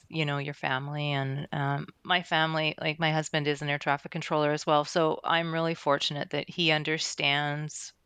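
A woman's voice: sits low, fairly bright tone, clear, resonant tone, dry recording. A middle-aged woman giving candid, explanatory answers in a conversational interview. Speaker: interview guest, air traffic controller and career coach.

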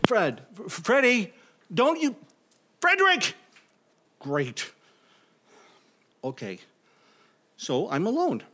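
An actor playing a nervous, imaginative office temp in a comedic audio drama, stammering and talking to himself. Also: male voice, high voice, huge pitch swings, slow, pauses often, pushed and bright, a little hiss or hum, exaggerated voice. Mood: anxious, exasperated, then uneasy, self-soothing. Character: jittery, imaginative male office temp, easily unnerved.

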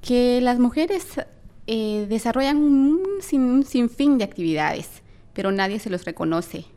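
A woman's voice: a little high, expressive, unhurried, warm tone, clear, resonant tone. A young woman with a Guatemalan accent, speaking earnestly as an activist guest in an interview. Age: young adult.

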